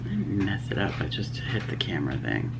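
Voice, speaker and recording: A woman with a strikingly deep voice talks casually through a beauty subscription box. She is a bit sniffly, reading out labels and prices in a chatty way. Steady background noise sits under her voice. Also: brisk, creaky. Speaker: beauty vlogger. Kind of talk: casual unboxing chat.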